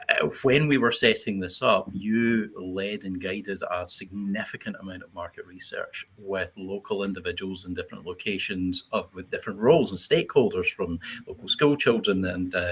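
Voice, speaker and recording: A man hosts a live panel discussion. His voice sits on the low side and has a husky grain. He speaks off the cuff, feeling his way through long, discursive questions. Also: fairly bright tone. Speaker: host of a panel livestream. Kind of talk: unscripted panel moderating.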